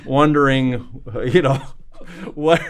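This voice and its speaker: A man's voice: mid-range voice, fairly even intonation, slow, smooth. A man telling a funny family anecdote in an interview, with many fillers. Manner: amused storytelling with fillers. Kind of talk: casual anecdote.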